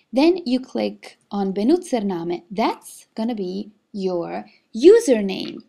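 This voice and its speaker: A woman's voice: medium pitch, mellow. A young woman from Switzerland who speaks Italian natively, chatting casually to a webcam and explaining things step by step with plenty of fillers. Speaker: singer-songwriter. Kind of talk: casual vlog with screen walkthrough. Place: indoor room, webcam video.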